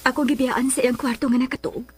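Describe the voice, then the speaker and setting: A woman's voice: sits high, fairly even intonation, fast, breathy edge. An actress with a Filipino accent playing a troubled mother torn by love in a radio melodrama, emotional and pleading. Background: music.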